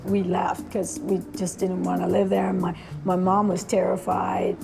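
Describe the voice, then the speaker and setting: A woman's voice: fairly low pitch, monotone, unhurried, vocal fry, music in the background. A woman from Argentina, a cosmetics brand founder, chatting casually about her life and work and laughing easily. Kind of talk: casual conversation.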